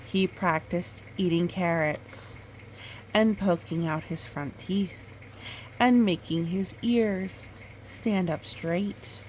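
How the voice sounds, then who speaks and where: A woman reading a picture book aloud in storytime style, with expressive, drawn-out dramatic phrasing and chatty asides. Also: fairly low pitch, slight background noise, low-quality recording.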